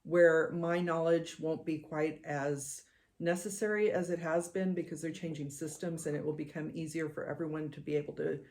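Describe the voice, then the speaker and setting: A middle-aged woman co-hosting a podcast in casual chat, with a soothing, measured way of talking. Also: sits low, steady tone, a little mellow. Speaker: podcast co-host.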